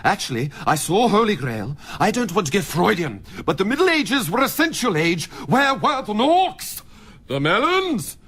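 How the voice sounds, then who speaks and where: An actor playing a cheerfully incompetent, leering male quack psychiatrist in a radio comedy sketch, rambling and excitable. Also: high voice, moderate pace, husky, exaggerated voice. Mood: gleeful, rambling.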